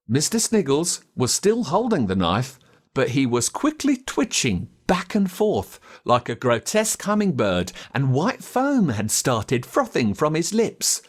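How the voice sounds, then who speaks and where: An Australian man narrating a children's story in a dramatic, expressive way. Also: fairly high pitch. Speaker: audiobook narrator.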